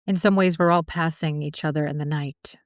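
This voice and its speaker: A woman's voice: low-pitched, steady tone, brisk, clear, resonant tone, no echo, clean studio sound. A woman hosting a podcast interview, speaking in an earnest, thoughtful way. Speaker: podcast host. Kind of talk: interview conversation.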